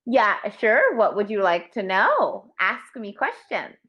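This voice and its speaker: A woman from New York with a New York accent, an online English tutor speaking slowly and clearly on a video call, encouraging and gently correcting. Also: mid-range voice, wildly swooping pitch, highs cut off. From New York, USA. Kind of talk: slow, clear tutoring conversation. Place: home teaching room with toys.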